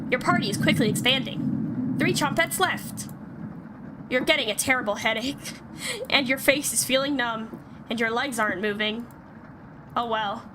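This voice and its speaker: A woman with a high voice plays through a game on stream. She reads the text aloud theatrically and reacts playfully, with clear noise behind her. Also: normal speed, long pauses. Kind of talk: game let's play, reading dialogue aloud with reactions.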